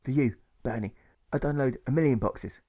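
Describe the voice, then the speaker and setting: A man voicing an earnest, devoted conscientious objector who is gently defiant, in an audiobook. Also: medium pitch, moderate pace, close to an everyday voice.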